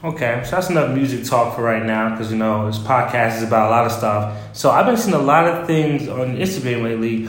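A man hosting a podcast in a casual interview, relaxed and giving short "mm-hmm" replies. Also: mid-range voice, a little fast, hardly any pauses. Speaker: podcast host. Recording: roomy echo.

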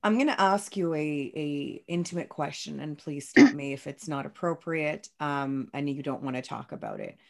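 Careful, gently probing woman host. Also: low voice, sing-song, moderate pace, vocal fry, hardly any pauses. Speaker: podcast host. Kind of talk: interviewing.

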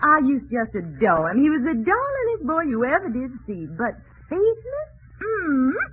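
Gushing Southern belle, flirty, Southern drawl, very lively pitch, vintage muffled radio sound. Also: female voice, normal speed. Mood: gushing, teasing. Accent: American Southern, put on. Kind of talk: old radio comedy acting. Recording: dull, noisy old radio recording.

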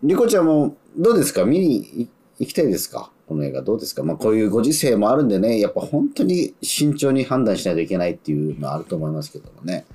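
A man's voice: fairly low pitch, big pitch swings, quick pace, few pauses, warm tone, breathy edge. A man hosting a radio talk show, chatting politely as a presenter. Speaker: radio show host and actor. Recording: music playing behind the voice.

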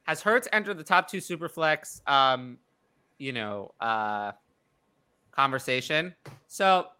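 Bright, forceful male podcast host. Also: medium pitch, lively intonation, takes it slowly, smooth. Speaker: podcast host fielding live chat questions. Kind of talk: casual sports talk.

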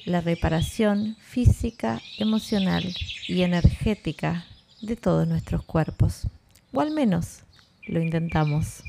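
Woman, Rioplatense accent, low creaky voice, calm guided meditation, soothing instruction, birdsong and some noise behind. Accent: Rioplatense Spanish. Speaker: yoga nidra instructor.

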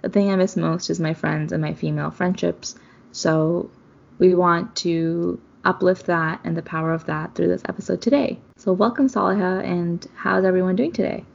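A woman's voice: lowish voice, steady tone, moderate pace, vocal fry, muffled recording. A woman co-hosting a podcast, giving an upbeat, welcoming episode introduction. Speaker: podcast co-host.

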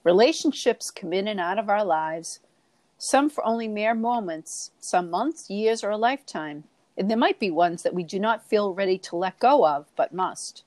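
A woman's voice: mid-range voice, animated, moderate pace, darkish tone, no echo. Boston-accented woman co-host, coaching, dry close sound, mid voice. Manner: coaching, asks rhetorical questions, repeats herself. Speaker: podcast co-host. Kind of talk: reflective spiritual discussion.

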